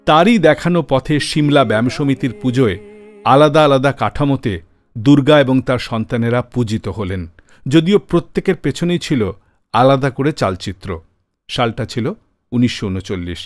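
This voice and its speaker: A man's voice: medium pitch, lively intonation, normal speed, no echo. A man with an Indian Bengali accent hosting a radio show with scripted narration.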